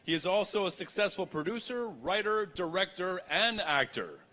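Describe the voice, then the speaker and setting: Man, high-pitched voice, gala emcee, live scripted stage announcements. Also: expressive, normal speed, pushed and bright, low-quality recording. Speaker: awards gala co-host.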